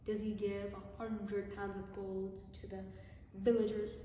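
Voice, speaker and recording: A woman storyteller voicing a proud, greedy king with a quick temper in a folk tale. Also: medium pitch, level tone, warm tone, voice pushed down, natural voice. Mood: demanding. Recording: very echoey hall, far mic, noisy.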